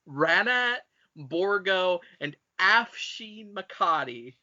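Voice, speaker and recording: Bright, animated man bantering on a podcast. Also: high voice, extremely slow, lo-fi sound. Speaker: podcast co-host.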